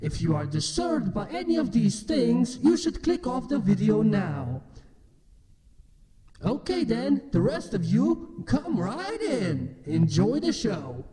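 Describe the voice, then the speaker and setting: Echoey announcer with lively pitch. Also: takes it slowly. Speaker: announcer giving a content warning. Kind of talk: scripted show introduction. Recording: echoey hall with crowd behind.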